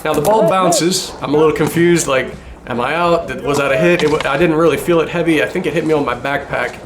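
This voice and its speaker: Man, casual game commentary, self-deprecating, slightly high voice, loud noise underneath. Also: brisk. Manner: chatty and self-deprecating, with small chuckles. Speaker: paintball player narrating his own game footage.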